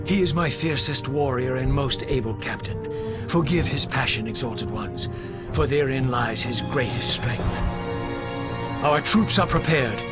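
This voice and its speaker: A solemn alien commander played by a man addresses his warriors in formal, oratorical phrases. His voice is middle-pitched and authoritative, in dramatic game cutscene style, with prominent music behind it. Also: measured pace, close to an everyday voice.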